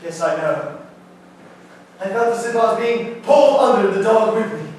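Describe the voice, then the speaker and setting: A man on stage playing a shaken, haunted, polite man with halting, formal speech. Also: sits high, expressive, darkish tone. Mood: shaken, confused. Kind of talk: live stage play acting. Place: indoor theatre stage, recorded from a distance. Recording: echoey theatre stage from far off, faint noise.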